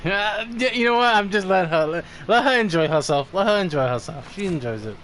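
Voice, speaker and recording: A man casually commentating on a game stream with a bright, high-pitched voice. His delivery swings widely in pitch and is peppered with swearing, over faint background noise. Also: expressive, brisk. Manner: frequent swearing. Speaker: streamer reviewing game characters.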